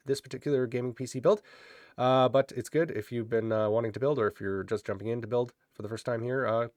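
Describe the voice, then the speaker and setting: A man's voice: mid-range voice, no room sound. Nasal man with a cold, dry sound. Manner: relaxed, hesitant with frequent fillers and restarts. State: has a cold, slightly nasal. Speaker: host of a PC build channel. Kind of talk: casual product rundown.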